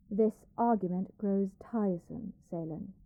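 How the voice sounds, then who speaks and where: A woman's voice: mid-range voice, dry recording, phone-quality sound, close to an everyday voice. A woman playing an arrogant young princess in a dramatic audio roleplay, haughty, petulant, theatrical and given to complaint. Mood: stubborn, haughty.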